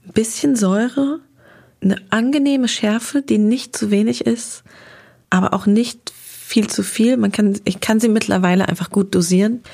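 A woman of middle pitch with a gravelly, vocal-fry texture, chatting as an interview guest. She sounds enthusiastic and warm about her subject and talks in long, winding sentences. Her tone is relaxed and conversational. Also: normal speed. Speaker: interview guest, restaurant critic.

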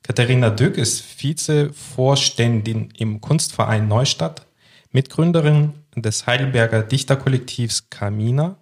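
A man co-hosting a podcast, chatting in a relaxed way and explaining with a few fillers, recorded in a room at a language institute. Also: medium pitch. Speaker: podcast co-host. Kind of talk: casual podcast chat.

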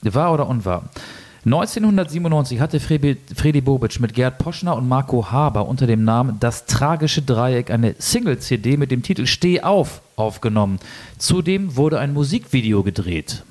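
A man co-hosting a casual football podcast, reading quiz statements deliberately and teasing his co-host. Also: medium pitch, expressive, a little mellow.

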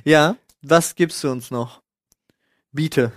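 A man's voice: on the high side, takes it slowly, dry recording, clean studio sound. A young man chatting casually as a podcast host, nitpicking in a tongue-in-cheek way. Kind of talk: casual joking conversation.

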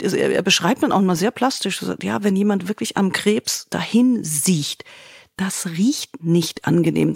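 A woman's voice: fairly low pitch, vocal fry, a little mellow, slightly rough, dry recording. Colloquial woman, dry close sound. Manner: colloquial, emphatic, opinionated. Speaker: podcast co-host reviewing podcasts. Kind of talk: spontaneous conversational review.

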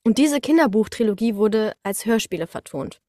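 A young woman chatting casually as a guest, telling anecdotes in a lively, slangy way. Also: medium pitch, moderate pace, no room sound, clean studio sound. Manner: animated storytelling with slangy, colloquial wording. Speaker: guest, voice actress.

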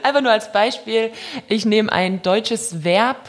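A woman's voice: medium pitch, measured pace. A woman speaking candidly and informally as an interview guest, giving casual answers and anecdotes, having grown up with German and Russian. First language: mixed German and Russian at home. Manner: candid, informal, laughs readily. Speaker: interview guest, professional handball player.

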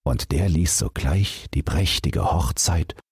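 A man with a husky, gravelly voice reading a bedtime fairy tale as an audiobook narrator in a measured storytelling way. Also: extremely low pitch, expressive, darkish tone, dry recording, studio quality.

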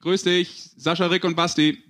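A man's voice: high-pitched, flat delivery, normal speed, fairly bright tone. A man in his forties hosting a sports podcast in a casual, conversational style. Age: 40s. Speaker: podcast host.

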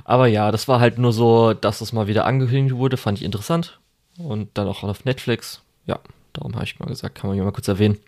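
Low-voiced man rambling casually. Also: fast, a little mellow. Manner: rambling, hesitant, many fillers and restarts. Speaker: podcast co-host. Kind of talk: casual podcast chat.

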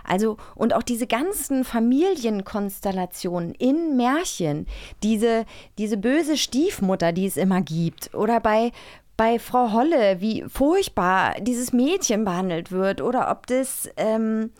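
A woman's voice: a little high, lively intonation, a little slow, a little mellow. A woman co-hosting a casual talk show, speaking colloquially and with emphatic, opinionated wording. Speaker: co-host of an audio drama magazine show.

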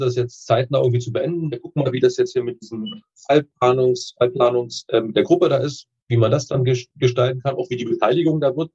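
Husky, mellow man on a muffled recording. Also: mid-range voice. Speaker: interview guest, dentist with orthodontic practice. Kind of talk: casual chat interview. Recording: dull, muffled lo-fi connection.

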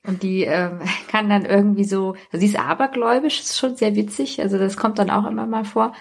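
A woman's voice: mid-range voice, steady tone, brisk, vocal fry, husky. A woman chatting casually as a podcast guest, recounting things in a loose, tentative, rambling way.

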